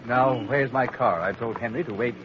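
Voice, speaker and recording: An actor playing a pompous, indignant governor in a declamatory 1930s radio style. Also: male voice, medium pitch, normal speed, lightly acted. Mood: pompous confidence. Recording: noisy, muffled 1930s radio recording.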